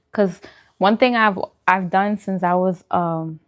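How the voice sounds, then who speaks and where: A woman chatting casually on a livestream as host while she eats, informal and self-deprecating in her manner. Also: medium pitch. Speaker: vlogger hosting a live mukbang stream.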